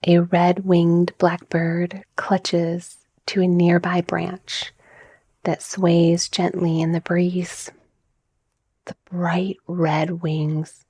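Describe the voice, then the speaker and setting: A woman softly narrating a guided relaxation visualization in a breathy, soothing, measured manner. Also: on the low side, no echo. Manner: soft, breathy, soothing, gently measured delivery. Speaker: guided meditation narrator, mindset coach.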